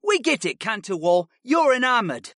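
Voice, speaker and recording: Casting: arrogant rich boy student man performing, high-pitched, smug drawl, mocking, for fantasy audiobook. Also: lively intonation, normal speed, pushed and bright, no echo, clean studio sound. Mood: sneering. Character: cocky, sneering pudgy rich boy student. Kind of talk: audiobook character acting.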